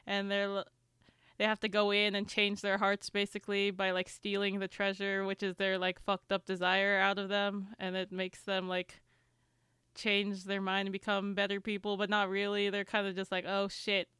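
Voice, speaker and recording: A woman talks casually in a very clean, resonant voice at a medium pitch. The sound is dry, with no room around it. She explains in a loose, slangy, rambling way and swears freely. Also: fairly bright tone, smooth, no echo. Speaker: podcast panelist. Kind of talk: casual chat.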